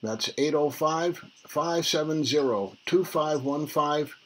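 An older man leaving a rambling, formal phone message in a halting, deliberate way, recorded in a small room. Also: a little high, rough recording. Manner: halting, spells out numbers deliberately. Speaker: caller leaving a voicemail. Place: small cluttered room indoors.

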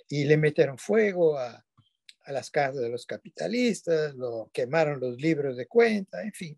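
A man's voice: a little high, vocal fry, a little mellow, husky, no echo, clean studio sound. An older man whose first language is not Spanish, giving an online lecture from a dim room at home over a webcam, digressing and often self-correcting with tag questions. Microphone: video call webcam.

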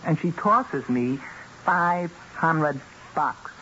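A shifty lowlife man talks in a fairly high voice. He is slangy, hesitant and evasive, grudgingly talkative. It sounds like a dull 1970s radio broadcast with some hiss. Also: animated, extremely slow, bright, forceful tone, full character voice. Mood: chatty, animated. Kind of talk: 1970s radio drama acting. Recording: muffled, noisy old radio broadcast.

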